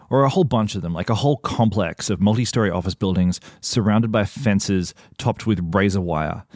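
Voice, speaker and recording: Low-voiced man narrating a podcast. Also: a little mellow, dry recording, clean studio sound. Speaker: podcast host. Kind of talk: scripted narration and interview.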